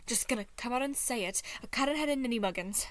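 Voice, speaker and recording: A woman's voice: fairly high pitch, fast talker, no echo, pitched above the performer's own voice, close to an everyday voice. A woman voicing a pedantic, irritable male figure of logic, with a dry and blunt manner.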